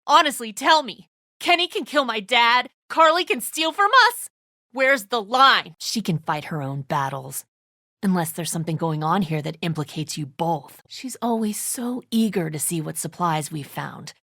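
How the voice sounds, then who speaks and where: A woman's voice: medium pitch, expressive, normal speed, bright, forceful tone, no echo, studio quality. A woman acting an embattled, suspicious, volatile survivor in a zombie video game, with a confrontational, accusing manner. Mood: furious, confrontational, then accusing, bitter.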